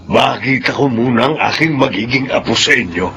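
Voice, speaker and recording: A man's voice: medium pitch, a little fast, sharp tone. An actor playing an affectionate, chuckling elderly Filipino father and grandfather in a radio drama. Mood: cheerful. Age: older.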